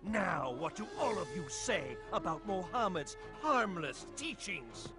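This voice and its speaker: High-voiced, scornful Meccan nobleman villain. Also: big pitch swings, unhurried. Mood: mocking. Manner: theatrical, mocking. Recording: background music under the voice.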